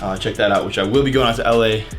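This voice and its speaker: A man's voice: on the low side, fairly even intonation, rapid-fire, faint music behind. A nineteen-year-old man with an American accent, chatting casually and informally as he answers viewer questions in a fitness vlog.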